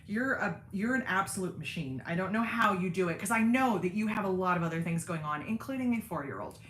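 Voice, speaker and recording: A woman chatting on a sewing livestream, rambling happily through viewer comments with plenty of fillers and wry self-deprecating asides. Her everyday voice sits fairly deep. The sound has a noticeable room echo, as from a home studio. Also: expressive, a little fast, fairly bright tone. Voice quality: deep speaking voice in everyday talk. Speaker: sewing channel host on a livestream. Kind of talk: casual livestream chat reading viewer comments.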